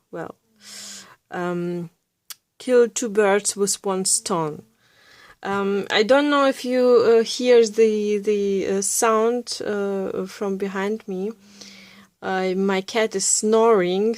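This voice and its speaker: A woman with a Russian-German accent talks through a coloring tutorial at an unhurried pace, pausing on lots of fillers. Her voice sits at a middle pitch, and the sound is dry and close. She sometimes sinks to a soft near-whisper as she works. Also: dry recording. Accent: Russian and Bavarian German. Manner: hesitant, many fillers, giggly. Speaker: art tutorial creator. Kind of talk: casual drawing tutorial.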